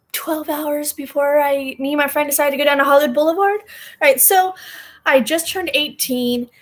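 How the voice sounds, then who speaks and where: A young woman in her twenties telling a personal story in casual chat, animated, laughing and going off on tangents. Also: sits high. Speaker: vlogger.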